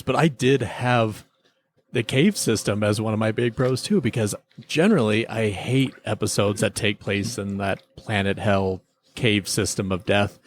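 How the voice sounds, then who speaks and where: A man co-hosting a casual review chat, talking as an enthusiastic fan. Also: on the low side. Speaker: podcast co-host reviewing TV episodes.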